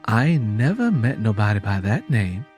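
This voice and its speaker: A man's voice: fairly low pitch, sing-song, normal speed, a little mellow, soft background music. A man playing a meek Southern grandmother. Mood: polite, guarded. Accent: American South. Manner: deferential, plain-spoken, cautious.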